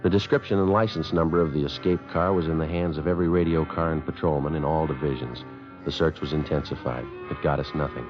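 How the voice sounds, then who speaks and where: An American actor playing a terse police sergeant who narrates his case in a clipped, deadpan, matter-of-fact way for a 1950s radio drama. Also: rumbling low, fast talker. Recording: muffled vintage radio recording, music behind. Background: music.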